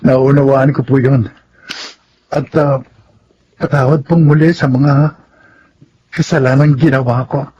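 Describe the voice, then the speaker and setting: Casting: humble ex-convict dad in radio drama, Filipino accent, mid-pitched, earnest, slightly dull audio. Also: male voice, fairly even intonation, a little slow, pauses often, mellow, slightly rough. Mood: remorseful. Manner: earnest, respectful to his mother. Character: remorseful, hopeful ex-convict father and street food vendor. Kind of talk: Tagalog radio drama acting.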